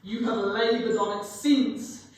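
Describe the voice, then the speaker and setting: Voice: medium pitch, fairly even intonation, slow, lightly acted. A young performer acting a solemn, tormented male ghost in a portentous, declamatory stage reading. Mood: anguished, stern. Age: young adult. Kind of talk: dramatic stage reading of a Victorian classic. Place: large echoey room with live ambience. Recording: echoey hall from far off, background noise.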